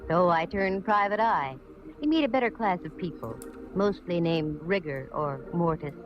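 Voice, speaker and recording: A woman's voice: lowish voice, animated, moderate pace, natural voice. An actress playing a wry, worldly female private eye, narrating and talking in mid-century radio drama style. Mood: wry, breezy. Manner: wry, sardonic, theatrical delivery. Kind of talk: 1940s-50s radio drama acting and narration. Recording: muffled, noisy old radio recording.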